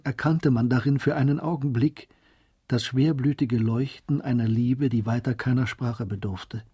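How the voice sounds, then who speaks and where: A male narrator reads scripted literary drama with measured composure. The voice is dark and mellow with a husky edge, recorded close and dry. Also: mid-range voice, no echo.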